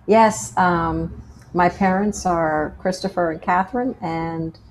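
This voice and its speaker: A woman's voice: lowish voice, takes it slowly, low-quality recording. A woman from Pennsylvania, a guest on a faith show, telling her life story in an earnest, anecdotal way and often restarting her sentences. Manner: earnest, anecdotal, repeats words as she restarts. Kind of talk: personal testimony in conversation.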